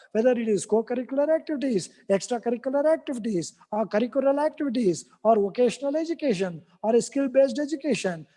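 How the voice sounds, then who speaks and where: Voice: mid-range voice, dark tone. A native Kannada speaker with an Indian English accent, giving a formal speech at a university event and earnestly listing points in rhythmic series. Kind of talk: formal prepared speech with thanks. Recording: long reverb of a large hall.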